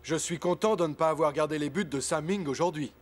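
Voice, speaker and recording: A young cartoon footballer voiced by a man with a high voice. He is good-natured and lightly teasing with his teammates. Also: a little fast, natural voice. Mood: amused. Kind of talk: dubbed children's cartoon acting.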